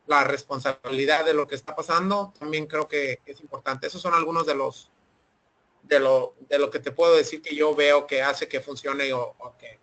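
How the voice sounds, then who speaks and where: High-voiced man explaining, many restarts. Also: bright, forceful tone, poor audio. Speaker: webinar presenter. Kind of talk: online seminar Q&A.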